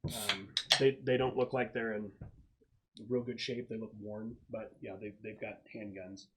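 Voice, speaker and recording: A man's voice: fairly low pitch, steady tone, measured pace, noisy background. A man running a tabletop roleplaying game as game master, describing scenes casually and piecing them together aloud.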